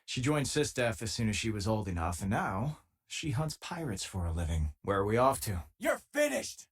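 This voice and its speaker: An actor playing an easygoing, wry spacefaring miner and loyal companion in a video game, with a husky voice and a casual, dry-humoured way of talking. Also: male voice, lowish voice, very animated, normal speed, full character voice. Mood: combat shouting. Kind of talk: scripted game voice lines, natural conversational delivery.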